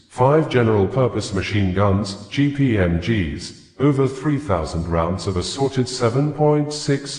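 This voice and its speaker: A man reading scripted news reports in a formal, measured manner. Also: on the low side, darkish tone. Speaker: news reader. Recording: echoey, reverberant room sound.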